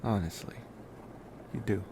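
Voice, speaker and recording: A man playing an affectionate young college vampire in an intimate ASMR boyfriend roleplay, speaking softly and gently in short, hesitant phrases. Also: measured pace, background noise, close to an everyday voice. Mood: sincere, grateful.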